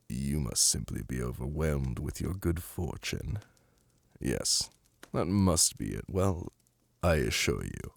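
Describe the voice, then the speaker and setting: A man's voice: extremely low pitch, gravelly, slightly rough, no room sound, heavily acted. A man playing a commanding, seductive demon prince in an ASMR fantasy roleplay, speaking in a silky, formal and flirtatious way. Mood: coaxing, possessive. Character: commanding, seductive male demon prince, doting yet imperious.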